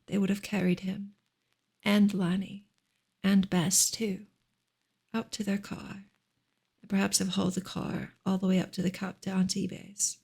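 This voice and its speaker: A woman reading a book aloud as soft ASMR, breathy and often close to a whisper, with gentle, careful narration. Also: medium pitch. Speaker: reader, ASMR creator.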